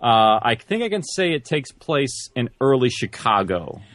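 Low-voiced man, close dry sound. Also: very animated, measured pace, a bit bright, no echo. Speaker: guest, audio drama producer and director. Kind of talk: casual interview conversation.

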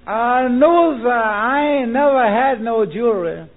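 A man's voice: very high-pitched, expressive, measured pace, a little hiss or hum, full character voice. An actor playing a meek, gullible male hanger-on with a hesitant, deferential drawl, in 1940s radio comedy style. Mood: meek, slow. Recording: narrow, tinny vintage radio recording.

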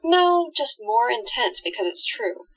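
Earnest female investigator, actress, radio drama: measured, thoughtful, slightly high, raspy voice. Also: big pitch swings, a bit bright, muffled recording, exaggerated voice.

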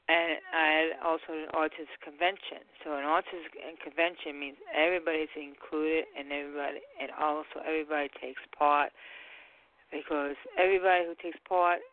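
A man's voice: fairly high pitch, little pitch movement, normal speed. Rambling man on a tinny phone line. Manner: rambling, loose phrasing with many restarts. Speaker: radio show co-host. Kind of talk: live internet radio chat.

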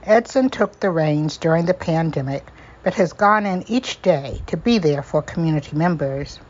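A woman's voice: deep voice, animated, moderate pace, a little mellow. A woman reading a scripted introduction as the narrator of a show. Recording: dull lo-fi recording.

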